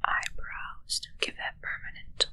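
A young woman playing a self-assured, darkly matter-of-fact witch in a hushed, musing ASMR roleplay, close to the mic. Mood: pleased, absorbed. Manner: slow, musing, hushed, close personal attention. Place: small dark curtained indoor set.

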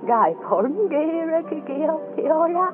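A woman's voice: high-pitched, moderate pace, natural voice. A woman playing a kindly elderly grandparent who patiently explains things in a folksy, instructive way in an educational radio drama. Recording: tinny band-limited audio with music behind.

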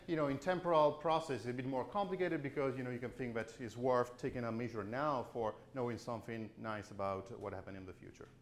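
A man in his forties giving a conference keynote lecture, halting with many fillers and restarts, in a chalkboard lecture room. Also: medium pitch, reverberant. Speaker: keynote speaker.

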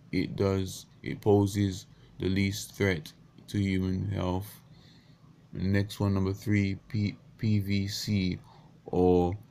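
A man in his thirties with a croaky morning voice, talking unscripted into a webcam in a small bedroom, halting with many restarts and stumbles. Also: low-pitched, darkish tone, slight background noise. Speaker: vlogger. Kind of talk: unscripted casual monologue. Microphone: webcam at close range.

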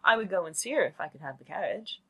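A woman voicing a fussy, excitable middle-aged mother in a storybook, gushing and emphatic. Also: on the low side, quick pace, slightly rough, muffled recording, exaggerated voice. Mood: breezy.

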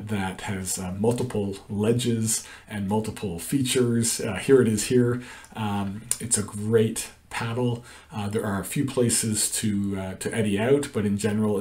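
A man's voice: fairly low pitch, darkish tone, recorded from a distance. A middle-aged man explains a canoe route over a shared screen, talking in a hesitant way with many ums and uhs. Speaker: canoe trip guide. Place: indoor room.

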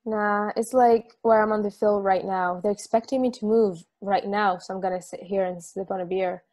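A woman's voice: medium pitch, fairly even intonation, normal speed, darkish tone, lightly acted. Woman reading a plainspoken traveler at a bar. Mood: wary, relaxed. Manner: casual, plainspoken. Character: plainspoken, guarded female traveler, easygoing bar customer. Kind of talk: online table read of a stage play. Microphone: video call microphone.

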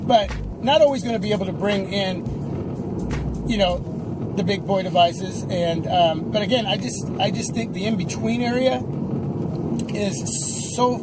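A middle-aged man vlogging casually about tech from inside a moving car, halting as he thinks aloud. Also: sits high, over loud music, poor audio. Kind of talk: casual unscripted vlog chat. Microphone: camera or phone on the dashboard.

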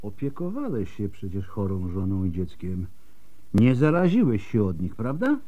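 Wry old doctor, animated delivery. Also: male voice, on the low side, moderate pace. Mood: thoughtful, measured. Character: old male doctor, wry, shrewd and skeptical. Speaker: actor in radio play. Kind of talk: radio drama acting.

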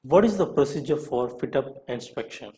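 A man with a mid-range voice narrates a scripted lesson. He reads out questions and follows each with a short answer. His delivery is deliberate, in the way of an instructor. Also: medium pitch, lively intonation, dark tone.

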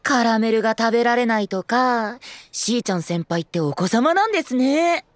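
A man's voice: very high-pitched, lively intonation, normal speed, dry recording. A man playing a cutesy young male idol in an audio drama, teasing and sugary with a blunt, bossy side. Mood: blunt, mocking. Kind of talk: anime-style voice drama acting.

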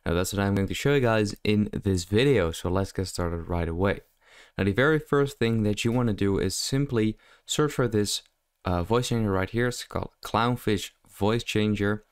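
A man narrates a casual how-to in a smooth, slightly low voice. His delivery is hesitant and loosely improvised, as if explaining while he works. The sound is close and dry. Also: normal speed, no echo. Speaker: tutorial host. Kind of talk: casual software tutorial voice-over. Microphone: close mic, screen-recording voice-over.

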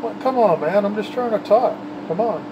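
A man's voice: high voice, expressive, normal speed, highs cut off. A man chatting casually and in folksy slang, as an operator talking on CB radio. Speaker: CB radio operator, channel host. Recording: background hum and noise.

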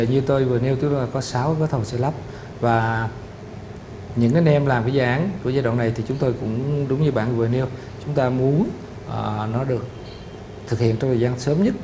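A middle-aged man explaining a city project in a formal interview, measured and full of "ờ" pauses. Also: mid-range voice, steady tone, noisy background. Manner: measured, explanatory, frequent "ờ" fillers. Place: studio office set with photo wall. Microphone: lapel mic.

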